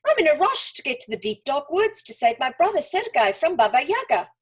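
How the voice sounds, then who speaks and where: A woman's voice: sits high, big pitch swings, brisk, pitched above the performer's own voice. A woman voicing a brave, determined young girl in a hurry, exclaiming in a children's story. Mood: hurried, determined.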